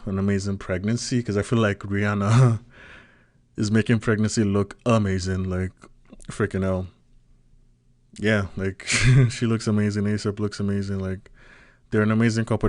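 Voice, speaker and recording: Nigerian man, casual vlogger, low-pitched, dry read, rambling chatty delivery. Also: darkish tone, dry recording. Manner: chatty, loose, peppers talk with "like" and "right?". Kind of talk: casual solo commentary.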